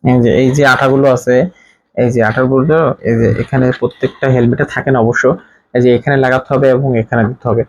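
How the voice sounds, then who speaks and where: A man with a Bangladeshi accent talks through a hands-on setup in a casual way. His pitch sits in the middle for a male voice. He explains step by step and often repeats phrases, in a dry recording with little room sound. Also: level tone, fast, dry recording, dull audio. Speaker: tech product reviewer. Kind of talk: hands-on installation tutorial, casual spoken demonstration.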